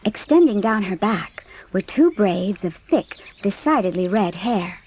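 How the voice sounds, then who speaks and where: A woman narrator reads scripted audiobook prose in a medium-pitched voice. She tells the story as a storyteller would, with faint noise in the background. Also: expressive, measured pace, darkish tone.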